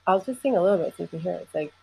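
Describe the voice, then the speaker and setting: A woman's voice: fairly low pitch, lively intonation, very fast, vocal fry, mellow, slightly rough, low-quality recording. A young adult woman musician in casual conversation, talking loosely with many "like" fillers and easy laughter. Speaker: singer and musician.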